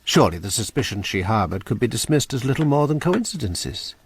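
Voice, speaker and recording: A man narrating a radio drama in a measured storytelling manner. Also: mid-range voice, very animated, a little hiss or hum. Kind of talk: scripted narration.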